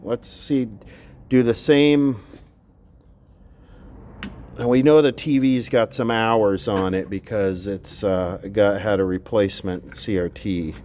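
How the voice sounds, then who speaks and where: A man's voice: fairly low pitch, fairly even intonation, slow, long pauses, a little mellow. A man giving casual, unscripted commentary as he repairs electronics, talking bluntly and thinking aloud in fragments. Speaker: electronics repair video host.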